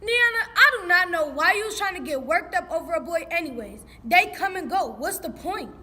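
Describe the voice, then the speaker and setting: A woman's voice: very high voice, lively intonation, fairly quick, bright, forceful tone, full character voice. A woman playing a no-nonsense, questioning teenage schoolgirl in casual drama dialogue. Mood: challenging, skeptical. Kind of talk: stage play video skit, casual dialogue.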